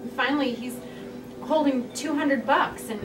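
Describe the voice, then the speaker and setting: A woman at a comedy table read playing a vulnerable young comic artist, telling an embarrassing story in a dry, halting, sheepish way. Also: high voice, music in the background, natural voice. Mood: dry, confessional. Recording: roomy, distant mic, noisy room.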